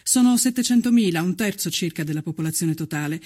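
A man narrating a scripted historical account for radio in a measured, literary manner. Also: high-pitched, animated, a little mellow. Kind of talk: scripted dramatic documentary narration.

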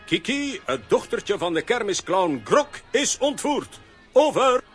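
An eager adventurer hero in a children's radio play. He takes charge in a high, animated voice with faint music behind. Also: measured pace. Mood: urgent, decisive.

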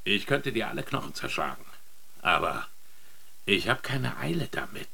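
An actor playing a domineering, menacing sailor bully who taunts and threatens in a German radio drama. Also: male voice, fairly low pitch, very animated, moderate pace, vocal fry, pushed and bright, husky, full character voice. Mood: threatening.

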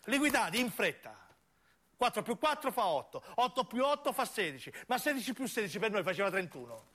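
A man's voice: high voice, big pitch swings, a little fast, sharp tone. A man with a southern Italian accent narrating a theatrical monologue for radio, emphatic and given to incantatory repetition. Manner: emphatic, incantatory repetition, acting out the characters as he tells. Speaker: narrating actor.

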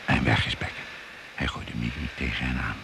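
A man narrating a radio drama in a gravelly, hushed, deliberate and suspenseful manner. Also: a bass voice, little pitch movement. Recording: noisy old radio play recording.

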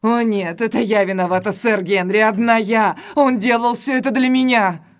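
A woman's voice: a little high, fairly even intonation, normal speed, natural voice. An actress playing a middle-aged, earnest and distraught housekeeper pleading for her family in an audio drama. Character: stout frightened housekeeper, loyal, pleading, sorrowful older sister. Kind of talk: Russian audio drama acting.

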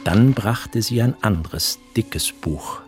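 The composed, observant narrator of a literary radio play. His voice lies fairly low but moves widely in pitch, shaping each phrase with care. There is faint music with the narration. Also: expressive, slow. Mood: descriptive.

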